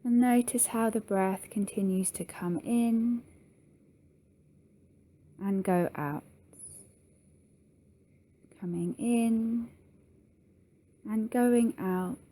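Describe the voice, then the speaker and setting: Female meditation guide, very unhurried, warm relaxed tone, soft delivery with long pauses. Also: fairly high pitch. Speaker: yoga nidra guide.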